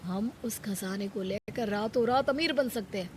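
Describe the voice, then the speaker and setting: A woman's voice: mid-range voice, normal speed, darkish tone, a little hiss or hum, lightly acted. A woman voicing a cunning, lazy, greedy village man in a Hindi moral-story cartoon, coaxing and wheedling. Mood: greedy, urging.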